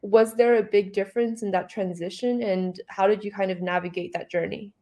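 A woman's voice: medium pitch, steady tone, fairly quick, mellow. A woman hosting and moderating an online talk, asking questions in a friendly, polite way. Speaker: host and moderator.

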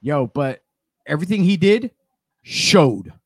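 A man in his forties co-hosts a casual talk show with a joking, bantering manner. His voice sits slightly high for a man, recorded dry and close. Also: big pitch swings, takes it slowly, slightly rough, dry recording. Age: 40s. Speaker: co-host of a wrestling talk show. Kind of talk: casual chat.

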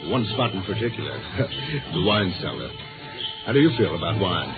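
An actor playing a sly middle-aged office rival in a 1950s radio drama, needling someone with smooth false friendliness. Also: male voice, deep voice, very animated, moderate pace. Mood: falsely jovial. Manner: smooth feigned friendliness, taunting insinuation. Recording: tinny old radio broadcast with music.

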